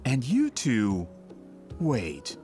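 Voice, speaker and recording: An actor playing a polite, effusive book merchant in an anime-style game, formal and flattering like an eager salesman. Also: mid-range voice, drawn-out words, over loud music, full character voice.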